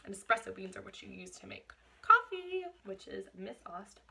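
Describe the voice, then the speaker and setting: A woman reading a picture book aloud to children in an expressive teacherly way, stopping to explain things. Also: medium pitch, moderate pace, vocal fry, breathy edge. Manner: expressive, explains words and asks questions to young listeners.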